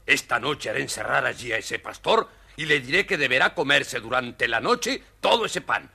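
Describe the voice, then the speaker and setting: A man's voice: mid-range voice, sing-song, normal speed, creaky, sharp tone, husky, full character voice. An actor playing an imperious, theatrical fairy-tale king in a children's audio story. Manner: theatrical, commanding.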